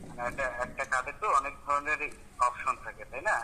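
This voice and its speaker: A man's voice: medium pitch, fairly even intonation, quick pace, bright, forceful tone, slight background noise. A man making an unscripted phone call as a caller posing as bank staff, giving instructions in an insistent, officious manner.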